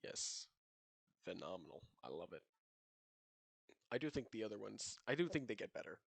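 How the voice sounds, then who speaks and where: A man's voice: fairly low pitch, big pitch swings, normal speed, vocal fry, slightly rough. Rambling young man chatting about books. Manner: rambling, filler-heavy, laughs often. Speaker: podcast co-host. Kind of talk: casual book discussion.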